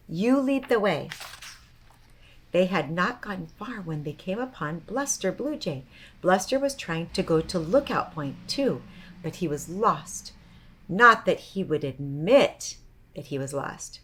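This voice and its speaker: A woman hosting a children's storytime, talking and reading to young listeners in a gentle, teacherly way. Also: lowish voice, husky.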